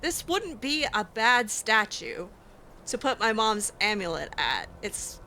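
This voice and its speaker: Sarcastic bright-voiced woman gamer. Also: fairly high pitch, big pitch swings, takes it slowly, slight background noise. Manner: sarcastic, exasperated, dramatic. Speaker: let's play host roleplaying her character. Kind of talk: in-character game roleplay.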